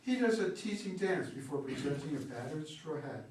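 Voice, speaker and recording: A man's voice: fairly high pitch, expressive, moderate pace, darkish tone. Guy reading play stage directions, super echoey room, bit of noise. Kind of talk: scripted narration at a play reading. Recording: very echoey room, distant mic, faint noise.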